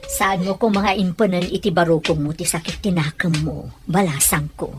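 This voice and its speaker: An actress playing a comforting older aunt with a Filipino accent, soft and coaxing, in a melodramatic audio drama. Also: female voice, fairly low pitch, moderate pace, music in the background, natural voice. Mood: tender, consoling. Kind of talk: melodramatic Filipino radio-style drama acting.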